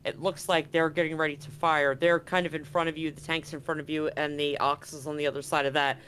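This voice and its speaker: A man narrating a tabletop game as game master, improvising haltingly and repeating words as he goes. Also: on the high side, little pitch movement, sharp tone.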